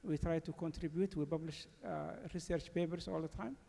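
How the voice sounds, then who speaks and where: Gravelly Somali man on a panel. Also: on the high side, mellow. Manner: halting, many fillers. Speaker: think tank panelist. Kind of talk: personal panel statement. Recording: long reverb of a large hall.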